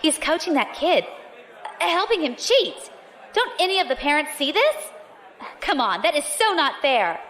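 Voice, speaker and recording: An actress playing a brash young space pirate, quick, sarcastic and observant. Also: female voice, high voice, big pitch swings, a bit bright. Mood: cocky. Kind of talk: audio drama acting.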